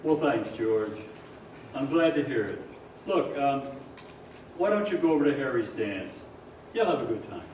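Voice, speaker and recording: An actor playing a tired, kindly older father in a radio drama on stage, gentle, measured and plain-spoken. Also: medium pitch, animated, natural voice. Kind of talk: old-time radio drama acting on stage. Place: performance hall, far from the microphone. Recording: very echoey, distant stage recording, faint noise.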